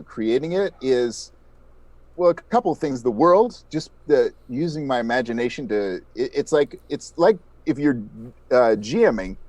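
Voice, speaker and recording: A man's voice: mid-range voice, darkish tone, no room sound. Earnest man, close dry sound. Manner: earnest, thoughtful, halting with repeated starts. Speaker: podcast guest, audio drama creator. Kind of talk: casual reflective interview answers.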